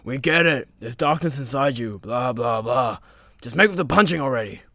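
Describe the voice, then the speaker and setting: An actor playing a brash, crude superhero, mocking and blunt, in a comedy radio play. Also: male voice, medium pitch, animated, moderate pace, sharp tone, no room sound, clean studio sound. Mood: sarcastic, impatient.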